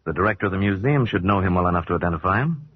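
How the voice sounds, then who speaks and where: Courteous, wise American hero for a vintage radio serial: formal, expressive range, muffled old-broadcast sound. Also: male voice, sits low, slightly rough, no room sound. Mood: serious, composed. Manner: measured, formal, polite. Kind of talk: 1940s radio acting. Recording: dull 1940s radio recording.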